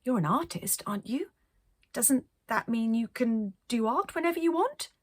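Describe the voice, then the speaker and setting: A woman's voice: fairly high pitch, lively intonation, normal speed, no echo, studio quality, pitched above the performer's own voice. A woman voicing a curious, straightforward young girl in a children's storybook, plain and questioning. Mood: puzzled.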